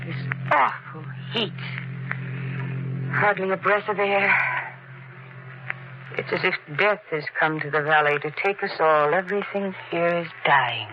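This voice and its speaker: A woman's voice: lowish voice, close to an everyday voice. A woman playing a fearful, guilt-ridden woman in 1940s radio melodrama style, intense and brooding. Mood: despairing dread. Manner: intense, brooding, dramatic pauses. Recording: muffled, noisy 1940s radio recording.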